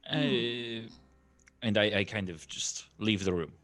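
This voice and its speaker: A man's voice: lowish voice, takes it slowly, noisy background. A man playing in a tabletop roleplaying game, hesitantly describing his character's actions in improvised chat.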